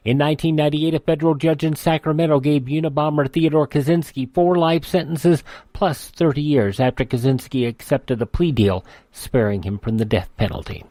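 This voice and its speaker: A man's voice: on the high side, dark tone, no echo. American man narrating, dry studio sound. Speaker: radio history segment narrator. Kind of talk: scripted news-style narration.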